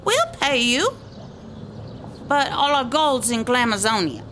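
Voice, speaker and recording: Alien diva lady, woman acting, theatrical and dreamy, highish slow voice, noisy comedy drama. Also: big pitch swings, a bit bright. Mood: dreamy, proud.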